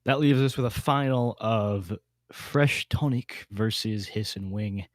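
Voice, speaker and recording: Male podcast host, low voice, dry close sound, casual discussion, hesitant repetitions. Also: measured pace, no room sound.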